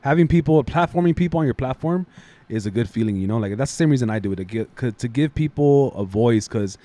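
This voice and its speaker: Man, podcast guest, quick, slangy, laid-back, dry sound, soft beat behind. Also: medium pitch, animated, dry recording. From Los Angeles. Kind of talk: casual podcast chat.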